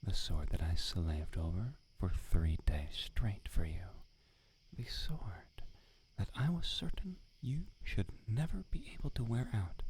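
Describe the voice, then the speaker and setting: Guy doing a grumpy swordsmith, deep slow whispers, faint hiss behind. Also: darkish tone, full character voice, rough recording. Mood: exasperated. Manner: whispered, exasperated, sarcastic scolding. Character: prickly, irritable male village swordsmith. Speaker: ASMR voice actor. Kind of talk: roleplay ASMR, whispered.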